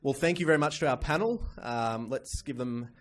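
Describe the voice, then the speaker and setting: A man's voice: mid-range voice, moderate pace, a little hiss or hum. A man chairing a conference question session as moderator, courteous and businesslike. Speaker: panel moderator.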